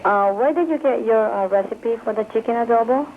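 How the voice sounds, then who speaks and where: A woman's voice: a little high, fairly quick, slight background noise. A woman from the Philippines calling in by phone, asking doubtful, teasing questions. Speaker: caller. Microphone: telephone. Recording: tinny phone line.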